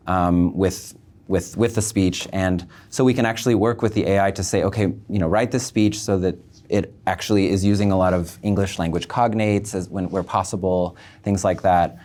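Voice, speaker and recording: A man speaking as an interview guest, explaining things in a measured way and often pausing mid-thought. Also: low-pitched, steady tone, a little mellow. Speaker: guest, embassy public affairs officer. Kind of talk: thoughtful online interview answers.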